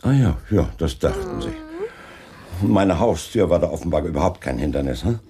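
An actor playing a wry, unflappable Scotland Yard chief inspector with dry irony in a German crime radio play. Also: male voice, deep voice, wildly swooping pitch, moderate pace, a crowd in the background, exaggerated voice. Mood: wry, flirtatious.

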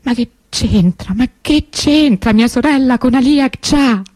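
Soft-toned, anguished young woman. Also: high-pitched, no echo. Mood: sad, bewildered. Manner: confiding, hesitant, conversational with pauses and repetitions. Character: anguished young woman recalling her missing teenage sister. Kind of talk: intimate radio drama monologue.

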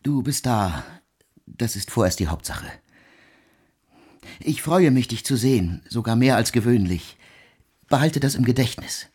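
A man playing a reserved, lonely composer of about forty in a radio drama, speaking formally and haltingly, as if confiding. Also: medium pitch, lively intonation, darkish tone, slightly rough, no echo, clean studio sound. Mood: earnest, courteous.

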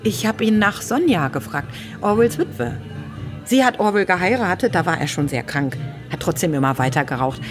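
An actress plays a woman in a radio drama, with a lowish, animated voice. She explains and reflects in dialogue. Music plays behind her. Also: lightly acted.

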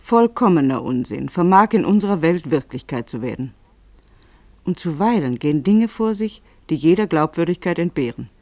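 A man's voice: fairly high pitch, lively intonation, normal speed, a little mellow, dry recording. A man reading scripted literary narration as a narrator in a radio play.

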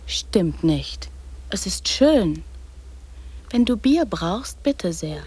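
A husky, secretive little girl. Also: medium pitch, sing-song, drawn-out words, full character voice. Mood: bossy, excited. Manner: hushed, secretive whispering broken by sudden loud insistence. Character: imaginative, bossy little girl whispering about soldiers. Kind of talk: radio drama acting.

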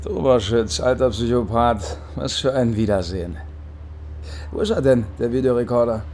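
A man's voice: medium pitch, normal speed, a little mellow, slight background noise, natural voice. An actor playing a sneering secret police man. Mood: taunting. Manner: mocking, taunting, menacing. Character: sneering male secret police officer, former classmate. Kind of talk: radio drama acting.

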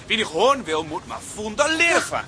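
An actor playing a brash, blunt farmer from the countryside with a Twente Dutch accent in a radio drama. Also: male voice, high-pitched, sing-song, fairly quick, pushed and bright, soft background music, heavily acted. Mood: cocky. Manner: blunt, boisterous, rustic. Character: brash rural Twente farmer man visiting Amsterdam.

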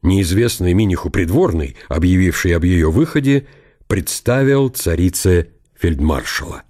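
A man's voice: sits low, expressive, unhurried, dark tone. A man narrating a historical radio drama in a dramatised literary style.

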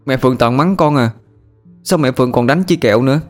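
A man's voice: medium pitch, fairly quick, faint music behind, voice pushed up, natural voice. A man voicing a small boy who is scared and misses his mother, talking in a whiny, pleading way.

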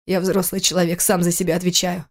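A man's voice: high-pitched, completely flat delivery, fast. An audiobook character, a grown man in anguish voiced by a woman narrator. He sounds bitter and broken by grief, with a pained, pleading way of talking. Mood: defiant.

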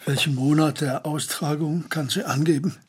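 An actor playing a blunt medical examiner in a period radio drama, terse, clinical and wry. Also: male voice, on the high side, fairly even intonation, normal speed, slightly rough. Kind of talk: German radio drama acting in period speech.

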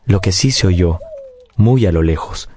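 A man narrating a literary radio story in a measured, reflective, dramatic way. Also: deep voice, darkish tone.

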